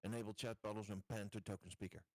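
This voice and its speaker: A man casually explaining software settings to fellow tabletop gamers. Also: on the low side, fairly even intonation, quick pace, no room sound. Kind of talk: casual step-by-step software help.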